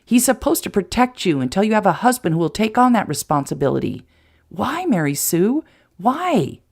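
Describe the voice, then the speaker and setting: A woman reading a protective young man who speaks low and softly with concern. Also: big pitch swings, moderate pace, vocal fry, breathy edge, full character voice. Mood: fierce, upset.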